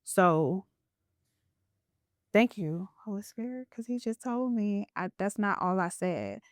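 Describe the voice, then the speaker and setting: Slow-spoken, smooth-voiced woman host. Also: medium pitch, sing-song, dry recording, studio quality. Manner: earnest, reflective, spiritual storytelling. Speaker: podcast host. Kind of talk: casual interview chat.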